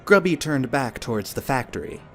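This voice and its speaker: A man's voice: mid-range voice, animated, brisk, fairly bright tone. A man reading scripted narration for an audio drama. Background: music.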